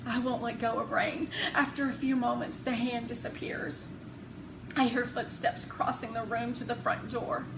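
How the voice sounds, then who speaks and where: Woman, expressive storybook narration, deliberate dramatic phrasing, slow pace, noisy roomy recording. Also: a little high. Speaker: read-aloud narrator. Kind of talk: expressive children's novel narration.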